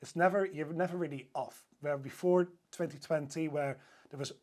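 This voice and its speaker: A man's voice: on the high side, steady tone, moderate pace, a little mellow. A man with a Dutch accent, chatting eagerly as a podcast guest with lots of fillers and restarts. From Netherlands. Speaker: hotel sales director, podcast guest. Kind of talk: casual interview chat.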